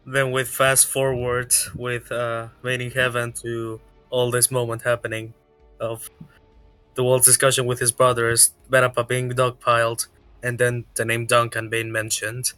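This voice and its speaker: A man's voice: medium pitch, monotone, unhurried, pushed and bright, clear, resonant tone, faint music behind. A man running a tabletop game as game master, recapping the events of the scene.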